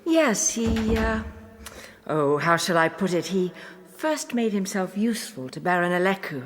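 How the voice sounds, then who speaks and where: An actress playing a cool, self-possessed young countess in a radio drama, genteel and measured, with hesitant pauses. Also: female voice, medium pitch, animated, a little hiss or hum, lightly acted. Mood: softly inviting.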